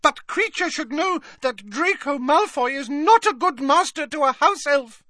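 A man voicing a small, excitable, devoted male house elf with a squeaky, earnest voice in an audiobook. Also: measured pace, no room sound, studio quality, heavily acted. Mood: defiant. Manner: speaks of himself in the third person, earnest.

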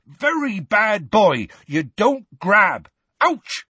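A man voicing a big, gruff half-giant who shouts and coaxes in rustic, colloquial speech for a storybook. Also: a little high, big pitch swings, a little slow, no room sound, voice pushed up, heavily acted. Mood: alarmed scolding.

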